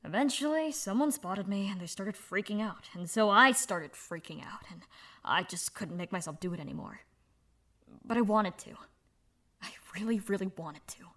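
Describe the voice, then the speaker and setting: A woman voicing a young woman speaking softly and haltingly about her past, in a fan audio drama. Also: mid-range voice, animated. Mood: somber. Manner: soft, halting, confessional. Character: young woman, subdued, candid.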